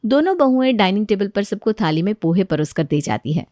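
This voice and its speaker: A woman's voice: mid-range voice, very animated, brisk, dark tone, dry recording, studio quality. A woman reading scripted narration for a family story.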